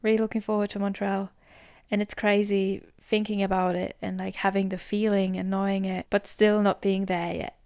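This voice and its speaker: A woman's voice: mid-range voice, flat delivery, normal speed, a little mellow, no echo, clean studio sound. Young woman musing in a rambling way. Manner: rambling, heavy use of "like", drops to whispers at times. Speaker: podcast host. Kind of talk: reflective solo podcast monologue.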